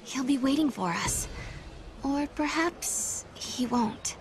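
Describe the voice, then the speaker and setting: A woman's voice: high voice, lively intonation, slow. A young woman playing an earnest, determined heroine in a video game. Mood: wistful, reflective. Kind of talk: video game voice acting, narration and dialogue. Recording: orchestral game music behind the voice. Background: loud music.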